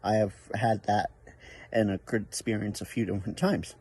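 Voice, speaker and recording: A man's voice: on the low side, fairly even intonation, moderate pace, a little mellow, husky, dry recording. An American man hosting a casual outdoor vlog as a radio operator, recorded on a camera in a park. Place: outdoors in a park by a baseball field. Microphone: camera without external microphone.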